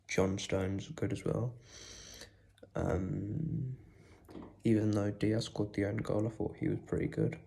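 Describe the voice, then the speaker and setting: Croaky low man with a cold. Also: fairly even intonation, slow, long pauses. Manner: speaks quietly, holding back volume; rambling with many ums. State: has a cold and sore throat, croaky. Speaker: football fan vlogger. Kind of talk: casual post-match reaction.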